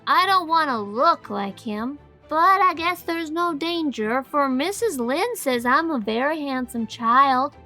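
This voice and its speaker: A woman's voice: sits high, expressive, measured pace, hardly any pauses, bright, forceful tone, soft background music, exaggerated voice. A woman voicing an inquisitive, outspoken little farm boy in an audiobook, talking in an earnest, childlike, questioning way. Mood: eager, chatty. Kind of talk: audiobook character acting.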